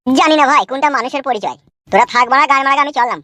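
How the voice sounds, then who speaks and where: A man's voice: very high-pitched, racing, pushed and bright, dry recording. A man voicing a cheeky, touchy young male sidekick in a comedy cartoon, grumbling and teasing. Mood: offended, grumbling. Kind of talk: amateur comedy cartoon dub.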